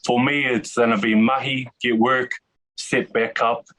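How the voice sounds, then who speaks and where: A man's voice: mid-range voice, little pitch movement, a little slow, fairly bright tone. A Māori man from New Zealand talking hesitantly in a casual interview over a video call, with many restarts. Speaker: detainee interviewee.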